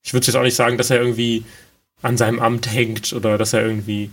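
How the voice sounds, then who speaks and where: A man's voice: mid-range voice, level tone, quick pace, a bit bright. A man co-hosting a podcast in chatty casual talk, joking about himself, with many fillers. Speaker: podcast co-host. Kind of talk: casual chat.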